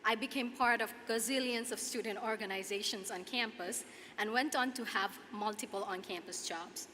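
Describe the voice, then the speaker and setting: A woman's voice: medium pitch, normal speed, bright, forceful tone. Young woman w/ nepali accent doing a grad speech, earnest, echoey hall, some noise behind her. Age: 20s. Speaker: student commencement speaker. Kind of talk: prepared commencement speech. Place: large hall at a podium, audience ambience.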